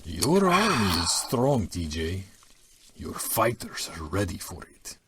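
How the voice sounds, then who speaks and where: Man acting a deep, slow-spoken militia lieutenant. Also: sing-song, very noisy, full character voice. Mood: confident, businesslike. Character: loyal militia lieutenant, hard, confident, reporting to his boss. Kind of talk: audio drama acting.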